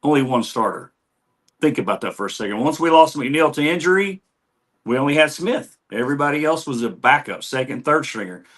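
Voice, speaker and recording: A man's voice: mid-range voice, lively intonation, fairly quick, a bit bright. Emphatic man making a point. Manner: emphatic, rhetorical.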